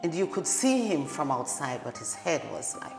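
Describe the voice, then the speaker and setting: A woman's voice: low-pitched, very animated, normal speed. A woman with a Jamaican accent, a care worker who gives plain, direct answers in an interview. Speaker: direct support professional. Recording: echoey hall with music behind.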